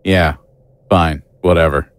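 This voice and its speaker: A man's voice: extremely low pitch, fairly even intonation, takes it slowly, dry recording. A man playing a blunt, informal private investigator, an ordinary world-weary guy, in a naturalistic audio drama. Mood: resigned, gruff. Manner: blunt, informal, matter-of-fact.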